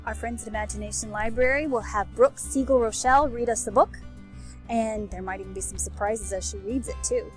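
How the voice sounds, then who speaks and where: A woman's voice: a little high, steady tone, a little fast. A woman giving a scripted, friendly introduction to a story time video. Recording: music behind the voice.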